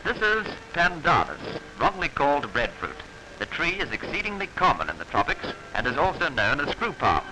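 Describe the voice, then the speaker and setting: A man narrating a 1940s instructional film in a formal, instructive manner with measured diction, on an old film soundtrack. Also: a little high, wildly swooping pitch, music in the background, poor audio.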